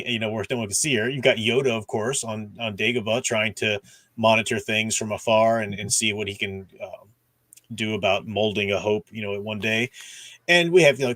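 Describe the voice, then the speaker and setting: A man's voice: on the low side, a bit bright, no room sound. Man explaining things, close dry sound. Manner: explanatory, peppers talk with "you know". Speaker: host of a book discussion stream. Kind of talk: casual livestream discussion of comics and radio dramas.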